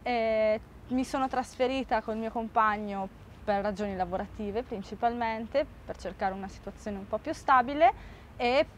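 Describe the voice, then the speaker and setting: Woman late 20s, from Italy, higher voice, cheerful, garden, faint traffic. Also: normal speed. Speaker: interviewee. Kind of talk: documentary interview. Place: garden outdoors.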